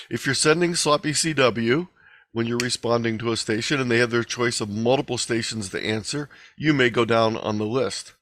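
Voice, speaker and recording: A man with a mid-range voice presents an instructional online lecture. He explains in a measured, deliberate manner, phrasing points clearly as a presenter would. The sound is dry, with little room echo, through a video call microphone. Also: medium pitch, fairly bright tone. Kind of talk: instructional webinar lecture with slides.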